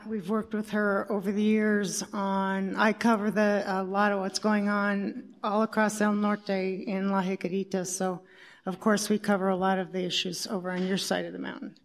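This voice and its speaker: A woman with a middle-register voice gives an informal tribute as a local reporter. She talks conversationally, pausing to search her memory as she goes. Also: medium pitch, flat delivery.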